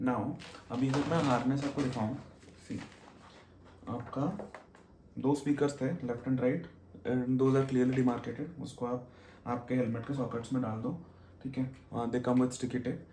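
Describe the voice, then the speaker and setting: A man's voice: mid-range voice, flat delivery, takes it slowly, pauses often, mellow. A man with an Indian accent giving a casual, explanatory gear review as a vlogger, switching between Hindi and English mid-sentence. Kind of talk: casual unboxing and review talk. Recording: roomy echo, noticeable background noise.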